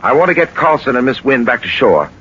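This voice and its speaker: An actor playing a suave, commanding gentleman detective in a 1940s radio drama, speaking with assured authority. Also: medium pitch, sing-song, fairly quick. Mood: brisk, assured. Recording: noisy, dull 1940s radio recording.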